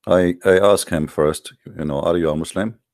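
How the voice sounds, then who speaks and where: Male online debate host, non-native English speaker, low-pitched voice, dry close sound, informal and confrontational delivery. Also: steady tone, normal speed, darkish tone, dry recording. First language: not English. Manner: challenging, confrontational, with rhetorical questions. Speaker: host of an online religious debate call-in show. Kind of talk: informal polemical monologue to live online audience.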